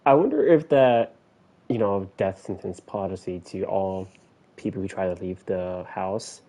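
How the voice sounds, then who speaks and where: A man streaming a game, thinking out loud in a rambling way full of "like" and "you know". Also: mid-range voice. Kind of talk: casual let's-play commentary.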